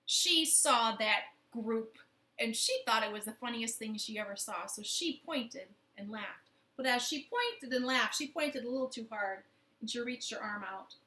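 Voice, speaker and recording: Female children's storyteller: higher-pitched, very lively intonation, unhurried, expressive, roomy home recording. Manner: expressive, interactive, addressing young listeners. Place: living room at home. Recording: roomy, echoing home living room.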